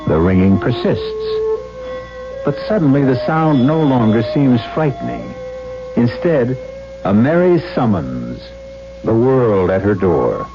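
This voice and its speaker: A man narrating a 1970s radio mystery drama in a measured, portentous manner, as a grave storyteller. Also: medium pitch, very animated. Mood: ominous, dramatic. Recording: dull 1970s radio broadcast, music behind.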